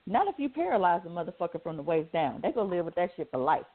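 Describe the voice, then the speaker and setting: A man's voice: high-pitched, sing-song, fast, over a noisy crowd. A man with an American accent, bantering as a radio co-host in slangy, argumentative, swearing talk. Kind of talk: casual banter. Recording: narrow phone-like line.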